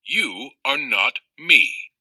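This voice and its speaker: A man voicing a contemplative storybook philosopher who debates in a deliberate, pointed manner. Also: medium pitch, expressive, bright, forceful tone, no room sound, exaggerated voice. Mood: musing. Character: contemplative philosopher debating his friend in a parable.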